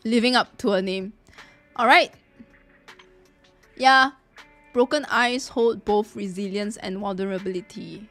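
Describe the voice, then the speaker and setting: A woman chatting casually on a live game stream, reacting excitably and repeating her phrases. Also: mid-range voice, a little slow, long pauses, soft background music.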